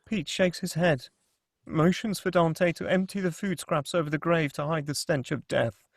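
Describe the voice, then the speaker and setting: A man's voice: on the high side, steady tone, normal speed, creaky, no room sound, studio quality. A man reading screenplay stage directions as narrator at a table read.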